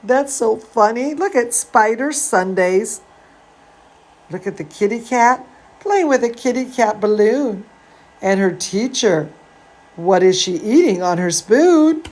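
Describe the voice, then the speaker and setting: A woman reading a picture book aloud to children, adding chatty asides in an expressive, drawn-out way. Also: medium pitch. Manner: animated, drawn-out, expressive delivery. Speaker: storyteller, dance studio teacher.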